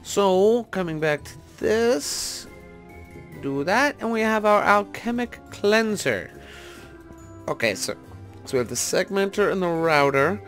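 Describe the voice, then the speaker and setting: A man's voice: high-pitched, lively intonation, a little slow, a bit bright, faint music behind. A man giving casual gameplay commentary, thinking aloud and tentatively talking through each step as he goes. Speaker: gaming video host.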